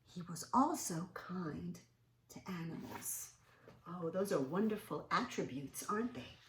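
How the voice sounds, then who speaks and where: A woman reading a storybook aloud to young children in an expressive way, with little interjections and questions to her listeners. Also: fairly low pitch, a little slow, slight background noise. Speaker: children's read-aloud host. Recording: roomy, echoing sound.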